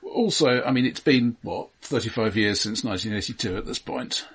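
A man co-hosting a podcast in casual film discussion, explaining things in a halting, analytical way. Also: medium pitch, fairly bright tone, slightly rough. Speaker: podcast co-host.

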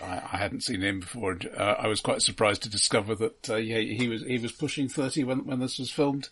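A man with a somewhat husky voice talks through films as a co-host. His delivery is conversational and wry, with dry asides. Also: fairly low pitch, steady tone, fairly quick, fairly bright tone. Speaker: film podcast co-host. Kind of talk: casual film discussion chat.

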